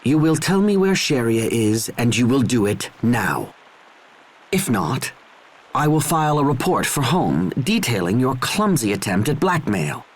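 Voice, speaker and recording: An actor playing a stern, proud young military officer in a video game, formal, cold and dutiful. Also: male voice, mid-range voice, very animated, measured pace. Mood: coldly threatening. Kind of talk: dramatic video game voice acting.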